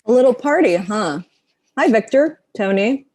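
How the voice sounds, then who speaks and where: A woman's voice: on the high side, expressive, measured pace, a little mellow. A woman playing a dry, teasing girlfriend of thirty in a comedy table read.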